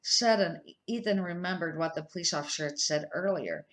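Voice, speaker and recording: An older woman with a somewhat low-pitched voice reads a children's storybook aloud. She sounds like a grandmother and an amateur reader, sometimes tripping on words and correcting herself. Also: a little fast, fairly bright tone.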